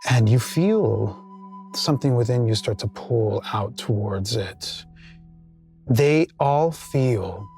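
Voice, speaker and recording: A man running a tabletop game, narrating scenes in a slow, drawn-out way. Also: lowish voice, darkish tone. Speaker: game master.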